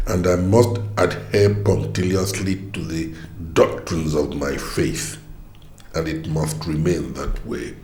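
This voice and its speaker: A man's voice: low voice, a little mellow, reverberant. An actor playing a stern, devout middle-aged father who lectures his family.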